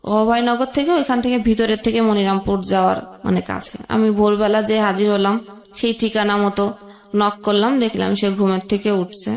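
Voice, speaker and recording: A young woman telling an emotional personal story as a guest, halting, with pauses and restarts. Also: mid-range voice, fairly even intonation, roomy sound.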